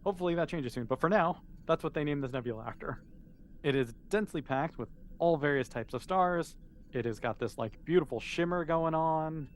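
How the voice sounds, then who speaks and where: A man's voice: fairly high pitch, lively intonation, brisk, slight background noise. A man game mastering a tabletop role-playing game, explaining and narrating in a casual, informal way.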